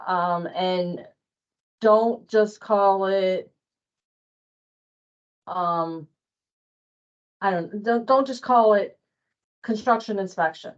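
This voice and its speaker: A woman's voice: medium pitch, extremely slow, long pauses, dull audio. A woman leading an informal workplace training walkthrough in a hesitant manner, often checking her points with a colleague. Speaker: training presenter.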